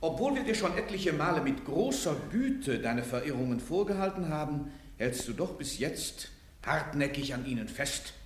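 An actor playing a cleric who pleads with a condemned woman to submit, shifting from soft to insistent. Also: on the high side, very animated, normal speed, reverberant, a little hiss or hum. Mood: pleading. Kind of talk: radio play acting.

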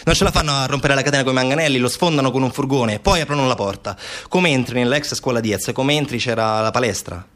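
A man's voice: mid-range voice, quick pace, few pauses, a bit bright. A man delivers urgent, insistent theatrical narration in the manner of documentary storytelling. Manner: urgent, insistent, repetitive staccato phrasing. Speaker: stage narrator, actor.

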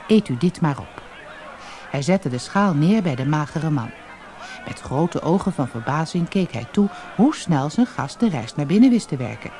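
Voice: mid-range voice, a little mellow, a little hiss or hum. A narrator reading a storybook expressively and dramatically for an audiobook, on an old cassette recording.

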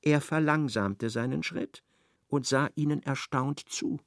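A man's voice: medium pitch, measured pace, no echo, studio quality. A man reading scripted literary narration as the narrator of a radio play.

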